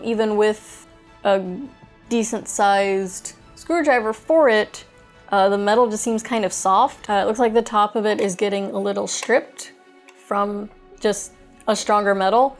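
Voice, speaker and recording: A woman giving a casual, chatty product review, thinking aloud as she demonstrates. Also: mid-range voice. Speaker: toy reviewer, host.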